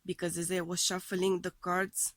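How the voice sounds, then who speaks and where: A woman's voice: on the low side, level tone, normal speed, no echo. A woman giving a weekly tarot love reading, her voice thrown off by a heavy cold, phrasing things tentatively as she reads the cards. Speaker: tarot card reader.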